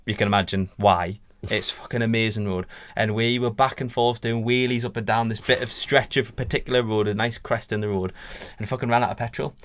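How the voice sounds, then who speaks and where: A man's voice: fairly low pitch, fairly even intonation, fairly quick, hardly any pauses, a bit bright. A man, co-hosting a podcast, swearing and bantering in casual chat. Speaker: co-host.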